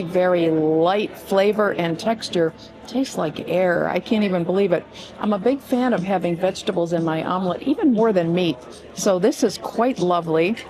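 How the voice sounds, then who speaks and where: A woman's voice: lowish voice, normal speed, music in the background. A woman with a crackly voice, hosting a casual vlog and chatting eagerly to camera from a restaurant table. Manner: chatty and enthusiastic. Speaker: vlog host and tour guide. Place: restaurant, indoors.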